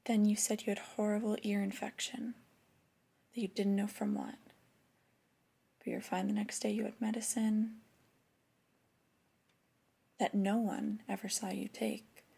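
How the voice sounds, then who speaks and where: Woman as flirty, disappointed boss for ASMR roleplay. Breathy, whispery, creaky low register in delivery, intimate reprimands. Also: level tone, warm tone, heavily acted. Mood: reproachful, skeptical. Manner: slow, deliberate, intimate reprimanding. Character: flirty, disappointed female store boss scolding an employee. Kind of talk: soft-spoken ASMR roleplay.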